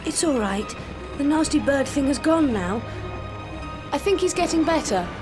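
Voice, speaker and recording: An actress playing a spirited young upper-class adventuress in an audio drama, expressive in her reactions. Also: high voice, moderate pace. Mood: anxious, concerned. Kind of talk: full-cast audio drama acting. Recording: music under the voice.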